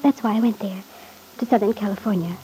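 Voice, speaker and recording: An actress in a classic radio soap opera playing a fragile, lonely wife testifying hesitantly, on a noisy old recording. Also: female voice, medium pitch, warm tone, husky. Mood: hesitant, pained. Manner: hesitant, halting.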